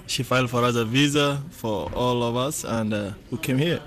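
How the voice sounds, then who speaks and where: A man's voice: mid-range voice, normal speed, fairly bright tone. Somali-accented man giving interview testimony. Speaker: interviewee, refugee.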